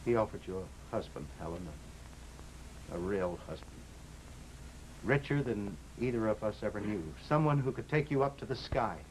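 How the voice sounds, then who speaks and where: A slightly noisy old radio recording of a man acting a troubled gambling husband. The voice is on the low side, earnest and halting, with guilt-ridden melodrama in the delivery. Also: expressive, a little mellow, natural voice. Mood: confessional, sorrowful.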